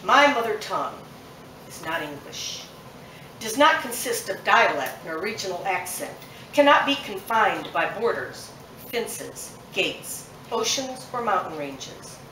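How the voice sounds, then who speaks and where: A woman's voice: medium pitch, big pitch swings, unhurried, bright, forceful tone, a little hiss or hum. A woman poet performing her poem live at a bookshop event, with a rhythmic, emphatic delivery that builds through long lists. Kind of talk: live performance poetry reading. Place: bookshop at a poetry festival event. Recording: echoey room, mic set far off.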